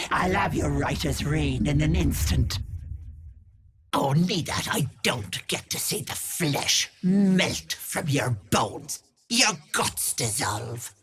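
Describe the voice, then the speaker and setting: A wicked old granny witch for a video game, very husky and cracked. She draws her words out slowly and swings widely in pitch, dripping false sweetness over open menace. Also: heavily acted. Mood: coaxing, sly, then venomous, menacing. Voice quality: husky, raspy cackling old voice. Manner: mock-sweet endearments, taunting, menacing. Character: gleefully malicious old hag, sweet-talking folksy granny witch. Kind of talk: theatrical video game character acting.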